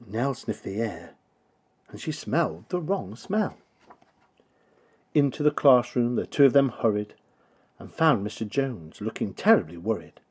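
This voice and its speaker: A man reading a rhyming children's story aloud with theatrical, rhythmic, expressive delivery. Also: medium pitch, slightly rough. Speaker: storybook reader.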